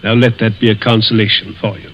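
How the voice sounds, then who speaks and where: A man's voice: fairly low pitch, little pitch movement, quick pace, lo-fi sound, lightly acted. An actor playing a sadistic, mock-courteous stepfather, silky and menacing, in 1940s radio drama style. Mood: mock-kindly, amused. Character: suave, gloating stepfather, a sadistic older villain.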